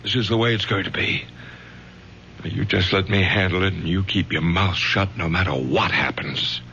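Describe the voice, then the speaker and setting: A man's voice: sits low, moderate pace, bright, forceful tone. An actor playing a stern middle-aged father, a college professor who takes charge in firm, clipped lines, in a vintage radio drama. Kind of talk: 1970s radio drama acting. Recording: dull vintage radio recording with faint hum.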